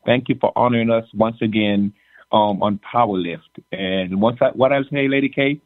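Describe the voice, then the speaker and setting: A man's voice: on the low side, moderate pace, no room sound, phone-quality sound. A man from Jamaica co-hosting a faith podcast, joking and teasing in casual chat and often repeating his words. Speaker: podcast co-host.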